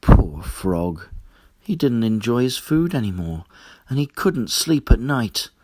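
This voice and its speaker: A man narrating a children's story in an expressive, deliberate storytelling manner. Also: medium pitch, no room sound. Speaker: storybook reader.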